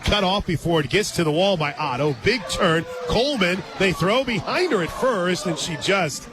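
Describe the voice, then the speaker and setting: A man with an American accent calling softball play-by-play for radio in a conversational, excitable manner. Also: high voice, moderate pace. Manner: conversational, excitable on big plays. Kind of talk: live softball commentary. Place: outdoor stadium radio booth. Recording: loud crowd and music, outdoors. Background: loud crowd and music.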